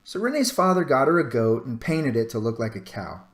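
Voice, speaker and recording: A man narrating a children's storybook aloud with dramatic pauses and stretched-out phrases. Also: mid-range voice, big pitch swings. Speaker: storybook reader.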